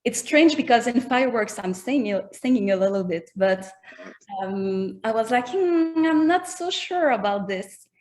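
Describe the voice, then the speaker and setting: A woman with a French accent speaks slowly and thoughtfully as a guest in an interview. She often pauses to find her English words. Her native language is French. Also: a little high, animated, a little mellow. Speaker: musician guest. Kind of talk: casual interview chat.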